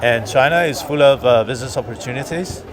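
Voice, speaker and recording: A man from Iran answering a street interview, thoughtful and hesitant, pausing often with fillers. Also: mid-range voice. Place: busy city street outdoors. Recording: busy street crowd behind.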